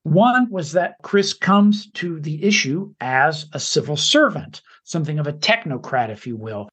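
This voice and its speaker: A man with a fairly high, husky voice co-hosts a podcast. He reads the introduction in a measured, slightly halting way. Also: lively intonation, a bit bright. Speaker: podcast co-host.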